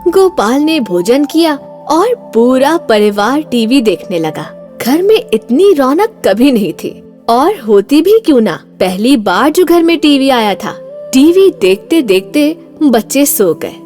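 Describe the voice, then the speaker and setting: A woman narrating an animated horror story in a storytelling style. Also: high-pitched, takes it slowly, soft background music.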